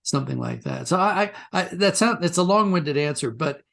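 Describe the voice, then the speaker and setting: A man with a moderately high-pitched voice speaks as an interviewed writer. He is thoughtful and discursive, telling stories conversationally and often restarting a sentence to reword it. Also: expressive, fairly quick, gravelly, fairly bright tone. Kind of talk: conversational interview, reflective storytelling.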